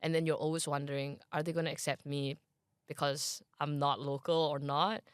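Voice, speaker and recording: A woman's voice: low-pitched, little pitch movement, moderate pace, smooth, dry recording, studio quality. A young woman from Malaysia with a Malaysian accent, a guest in a casual interview who talks in a chatty way with many fillers, thinking aloud.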